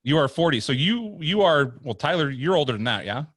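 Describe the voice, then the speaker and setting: A man's voice: a little high, very animated, a little fast, fairly bright tone, no room sound, studio quality. A man in his late thirties hosting a podcast, joking and teasing his guest in a casual chat. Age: 30s. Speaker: podcast host. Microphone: video call.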